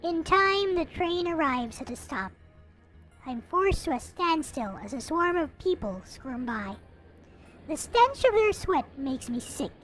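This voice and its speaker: A woman with a high-pitched voice reads a story aloud in a playful, theatrical way. Her intonation swings widely, and she slips into casual asides. Soft music plays behind her. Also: big pitch swings, unhurried, poor audio. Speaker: host reading a visual novel aloud. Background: background music.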